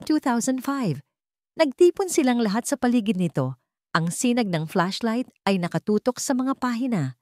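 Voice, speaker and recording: A woman's voice: medium pitch, darkish tone, no room sound, studio quality. A woman with a Filipino accent narrating a scripted story in a dramatic style.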